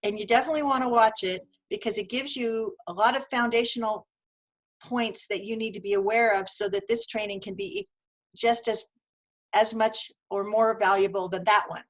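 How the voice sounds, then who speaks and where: A woman's voice: medium pitch, steady tone, normal speed. A woman hosting a live webinar over a video call, upbeat and effusive as she introduces her guest. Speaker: host.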